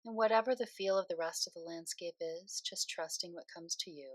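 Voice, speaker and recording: A woman leading a guided meditation in a soothing, unhurried way, speaking phrase by phrase with pauses. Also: fairly low pitch, no echo.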